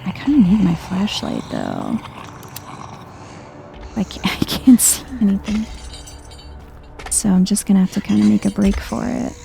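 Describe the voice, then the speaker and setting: Soft, slow-talking woman gamer, mellow and creaky. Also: medium pitch, huge pitch swings, slight background noise. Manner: chill, murmuring, thinks aloud. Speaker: video game streamer. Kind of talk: soft-spoken ASMR gameplay commentary.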